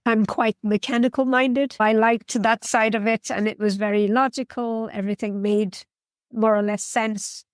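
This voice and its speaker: A woman's voice: a little high, steady tone, moderate pace, no echo, studio quality. A woman from Guyana, a surgeon, answering interview questions in a plain, practical, explanatory way. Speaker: orthopedic surgeon guest.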